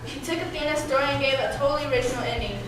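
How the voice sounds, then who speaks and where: A woman's voice: high-pitched, rapid-fire, close to an everyday voice. Marveling, declamatory villager, acted by a woman, for stage reading; noisy, echoing room. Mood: admiring. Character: awestruck villager judging a weaving contest. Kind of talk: readers theatre stage performance. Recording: echoey room, distant mic, noisy.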